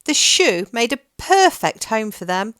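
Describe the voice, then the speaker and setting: A woman reading a children's picture book aloud in a gentle, expressive storytelling manner. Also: a little high, a little slow, no echo, studio quality.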